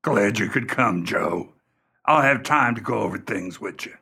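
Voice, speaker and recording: An actor playing a fussy, anxious caretaker in a radio play, halting and repeating his words as he talks. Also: lowish voice, animated, vocal fry, bright, forceful tone, husky, exaggerated voice. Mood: nervous, fussy.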